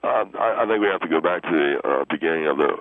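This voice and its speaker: Man acting as crude young male caller, deep voice, halting, preachy, slangy, radio comedy, narrow phone sound. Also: animated, dry recording, exaggerated voice. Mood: halting disapproval. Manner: halting, self-righteous, slangy, repetitive. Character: crude, indignant young Generation X man, radio call-in guest. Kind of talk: improvised radio comedy call-in. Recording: phone-like radio line with hiss.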